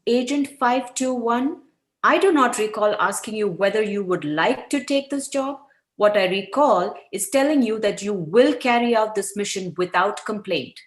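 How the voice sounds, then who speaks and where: Ruthless female agency chief, acted by a woman, sharp, icy, formal, mid pitch, echoing room. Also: lively intonation, moderate pace, natural voice. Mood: cold menace. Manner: sharp, clipped formal threats. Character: stern, coldly menacing female spy boss.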